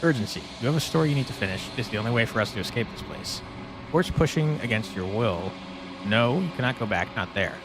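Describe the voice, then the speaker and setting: A man's voice: mid-range voice, animated, fast talker, noisy background. A man hosting a gameplay video, casually musing aloud and reading on-screen text. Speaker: gaming video host. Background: game music.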